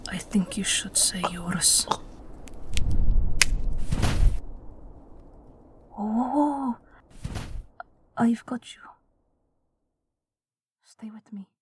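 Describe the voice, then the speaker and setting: A woman's voice: fairly high pitch, noisy background. A woman voicing an ageless Russian night witch pilot, wry, tender and weary, in an intimate, whispery audio roleplay. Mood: soothing, protective. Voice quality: breathy, whispery. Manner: gentle, dryly wry, unhurried.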